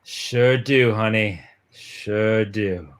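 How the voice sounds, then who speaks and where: A man's voice: lowish voice, drawn-out words. A man playing an affectionate, easygoing young small-town fiancé in a dramatic reading of a 1950s romance comic, laconic and reassuring.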